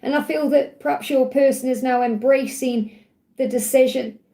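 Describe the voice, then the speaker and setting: A woman giving a live tarot love reading in gentle, repetitive, reassuring guidance. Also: high voice, fairly even intonation, moderate pace. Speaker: tarot reader.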